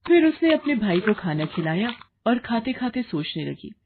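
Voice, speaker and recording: Female storyteller for children's moral tales: high voice, scripted narration, slightly dull recording. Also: very animated, moderate pace, slight background noise.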